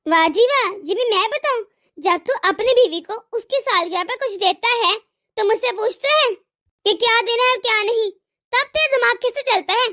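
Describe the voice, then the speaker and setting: A woman voicing a scolding, sarcastic, demanding mother-in-law in an animated family drama. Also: very high-pitched, moderate pace. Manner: nagging, sarcastic. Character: scolding, demanding mother-in-law, fiercely partial to her daughter.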